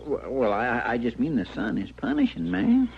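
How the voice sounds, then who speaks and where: An actor playing a talkative, eager deputy sidekick with an American accent and a rustic, deferential manner in a radio western. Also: male voice, medium pitch, wildly swooping pitch, moderate pace, vocal fry, slightly rough, heavily acted. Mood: concerned. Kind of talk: old-time radio western acting. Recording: muffled, noisy old radio recording.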